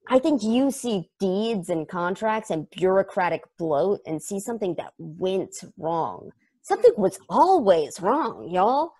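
A woman's voice: mid-range voice, big pitch swings, unhurried, warm tone, husky. A woman reading the part of an irreverent, slangy space probe in a play, with a folksy, sardonic way of talking, over a video call. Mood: gleeful, philosophical. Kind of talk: table read of a stage play. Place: home room, over a group video call.

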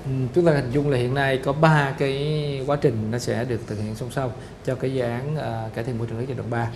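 A man's voice: medium pitch, steady tone, darkish tone. Middle-aged man, lapel mic, studio office, official interview, noticeable background noise. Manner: measured, explanatory, frequent "ờ" fillers. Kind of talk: formal interview. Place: studio office set with photo wall.

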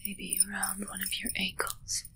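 A young woman playing a possessive, commanding witch in an ASMR roleplay, speaking in a soft breathy whisper with slow, deliberate phrasing. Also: mid-range voice, monotone, voice pushed down, lightly acted. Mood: coaxing, fussing. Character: controlling witch grooming her familiar, possessive and commanding. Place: small dark draped indoor room. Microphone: close ASMR microphone.

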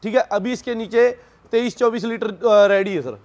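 A man selling dairy cattle, giving an informal pitch and respectfully addressing the listener as sir again and again. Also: moderate pace, darkish tone. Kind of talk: informal sales pitch to camera. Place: dairy farm.

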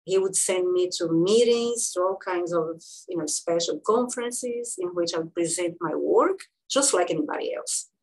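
A woman in her sixties from Brazil with a Brazilian Portuguese accent, telling her career story as an interview guest, with frequent pauses and fillers. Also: lowish voice, darkish tone, rough recording. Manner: halting, many fillers and restarts. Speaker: guest physician-researcher.

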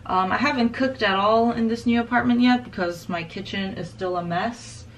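A woman's voice: a little high, moderate pace, low-quality recording. Young woman, lifestyle vlogger, casual upbeat chat to a tripod camera, with noise behind her. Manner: chatty, upbeat, says "like" often. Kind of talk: casual vlog narration. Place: bright home room and kitchen, indoors.

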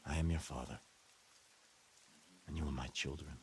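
An actor playing an intense, messianic cult leader in a video game, delivering a dramatic sermon with deliberate, repetitive rhetoric. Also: male voice, very deep voice, fairly even intonation, creaky, darkish tone. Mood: solemn, fervent. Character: intense messianic male cult leader preaching to his flock.

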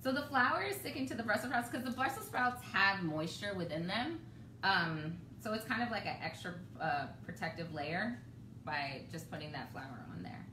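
A woman's voice: on the low side, normal speed. Chatty woman cooking in a roomy kitchen. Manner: chatty, relaxed, laughs often. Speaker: cooking show host. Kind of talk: live cooking demo. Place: home kitchen, indoors. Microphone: camera across the kitchen. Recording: roomy, distant, noisy home kitchen.

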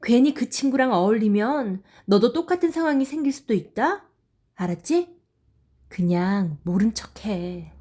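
A woman voicing a busy, pushy working mother in an audio drama, talking in a brisk, nagging way. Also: a little high, expressive, mellow.

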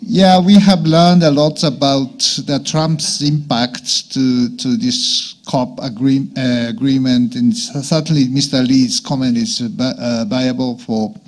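A man moderating a conference debate in a halting way, often repeating the starts of words. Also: medium pitch, fairly even intonation. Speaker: panel moderator. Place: conference meeting room with long tables.

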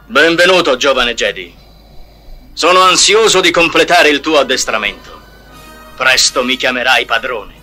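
Evil gloating old emperor, piercing. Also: male voice, medium pitch, expressive, normal speed, sharp tone, faint music behind. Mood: gloating welcome. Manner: gloating, imperious. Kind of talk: audio storybook drama.

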